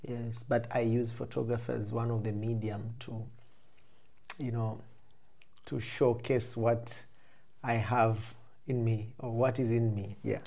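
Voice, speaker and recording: A man with a Tanzanian accent speaks as an interview guest. His voice is relaxed and dark, with a noticeable creak at the edges. He talks conversationally and reflectively, rephrasing as he explains. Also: mid-range voice, unhurried. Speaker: interview guest, photographer and filmmaker.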